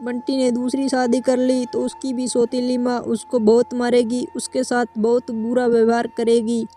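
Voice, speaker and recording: A woman's voice: a little high, monotone, moderate pace, warm tone, soft background music, pitched above the performer's own voice, lightly acted. A woman voicing an anxious, devoted mother bird in a cartoon story, worried and pleading.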